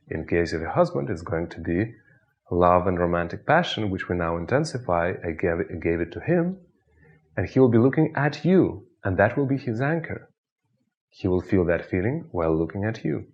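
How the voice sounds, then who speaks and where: A man with a Russian accent, a hypnotist guiding the listener through an anchoring exercise with slow, soothing, repetitive suggestions. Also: deep voice, sing-song, dull audio. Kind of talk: guided hypnosis instruction.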